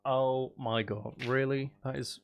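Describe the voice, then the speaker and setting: A man's voice: mid-range voice, fairly even intonation, takes it slowly, vocal fry, slight background noise. A man hosting a livestream, chatting casually in a sarcastic, exasperated ranting manner. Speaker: livestream host.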